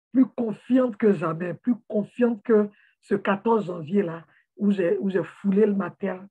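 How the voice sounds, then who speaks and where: A woman's voice: medium pitch, warm tone, slightly rough. A woman giving a heartfelt motivational talk to her viewers, earnest and often repeating words as she searches for the next one. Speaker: motivational vlogger for immigrants. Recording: tinny phone-like sound.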